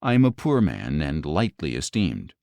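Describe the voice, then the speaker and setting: A man's voice: extremely low pitch, huge pitch swings, moderate pace, darkish tone, dry recording, studio quality, voice pushed down, full character voice. A man reading the part of a humble young warrior of modest birth, in an earnest storybook delivery.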